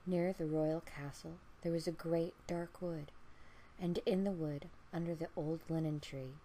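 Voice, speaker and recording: A woman storyteller reading a fairy tale aloud in a measured, expressive way. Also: low-pitched, slight background noise. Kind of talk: scripted fairy-tale narration.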